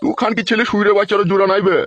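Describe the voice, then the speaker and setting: A man acts out a quarrelsome older village father in a comic cartoon. He scolds and curses very quickly. The audio is dull and lo-fi. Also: high voice, steady tone, fairly bright tone. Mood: angry scolding. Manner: scolding, cursing, blustering.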